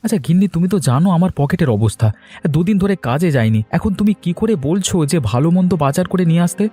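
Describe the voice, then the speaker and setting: A man's voice: high-pitched, very animated, quick pace, warm tone, soft background music. A man voicing a put-upon husband and father in a Bengali moral-story cartoon, anxious and plaintive. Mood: grumbling, worried. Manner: plaintive, grumbling.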